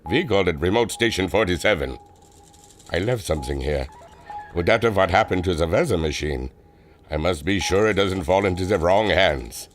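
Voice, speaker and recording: A man's voice: sits low, expressive, normal speed, soft background music. A man playing a grave, secretive airship captain and inventor in an audio drama, cryptic and commanding. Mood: assured, secretive.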